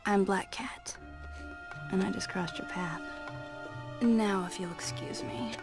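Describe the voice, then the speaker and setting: An actress playing a playful, elusive cat burglar in a video game, with a sultry, teasing, unhurried delivery. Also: female voice, mid-range voice. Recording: music behind.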